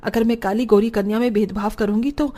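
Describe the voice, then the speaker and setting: A woman voicing a patient, reasonable woman of a family in a cartoon story, measured and gently reproving. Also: fairly high pitch, fairly even intonation, close to an everyday voice. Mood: weary, patient. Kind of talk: Hindi cartoon story dialogue.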